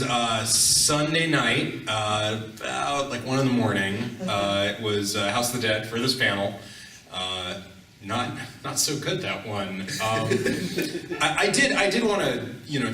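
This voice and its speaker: A man on a live convention panel, talking casually in a halting, rambling, wry way. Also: mid-range voice, lively intonation, pushed and bright. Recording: echoey room, distant mic, faint noise.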